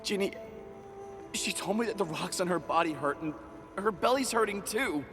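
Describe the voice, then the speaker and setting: A man's voice: high voice, expressive, moderate pace. An actor playing an earnest, anxious young man and devoted brother in a video game, with a pleading, hesitant manner. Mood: worried. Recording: long reverb, faint music behind.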